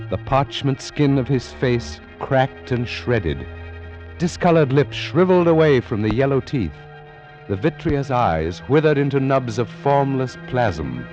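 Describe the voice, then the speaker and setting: Male actor, cultured scholar in a vintage radio thriller: lowish, expressive, formal, muffled sound, music underneath. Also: lightly acted. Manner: formal, dramatic, measured. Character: cultured male Egyptology scholar, secretly an ancient priest. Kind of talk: 1940s radio acting and first-person narration.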